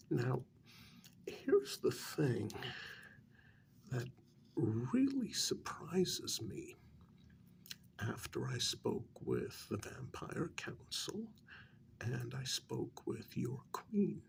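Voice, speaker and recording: A performer acts out a patient, probing official in an ASMR role-play. The voice is a soft half-whisper, heavy with gravelly fry. Every phrase comes very slowly and deliberately, with gentle reproach. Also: medium pitch, huge pitch swings, full character voice. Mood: measured, sympathetic. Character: patient, probing official questioning a renegade vampire.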